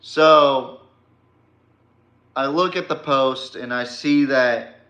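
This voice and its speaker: A man's voice: mid-range voice, extremely slow, fairly bright tone, roomy sound. An American man hosting a sports podcast, ranting casually in a blunt, emphatic and profane way. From Texas, United States. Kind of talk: casual opinionated sports rant.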